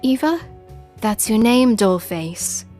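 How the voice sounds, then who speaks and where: A woman voicing a cold, threatening satyr woman with a high, growling voice in an audio story. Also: expressive, slow pace, a little mellow, faint music behind. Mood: cold, interrogating.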